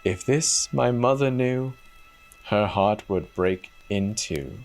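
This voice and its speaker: A man's voice: fairly low pitch, takes it slowly, soft background music. A man voicing a sorrowful young princess disguised as a goose girl, solemn and lamenting, in a storybook reading.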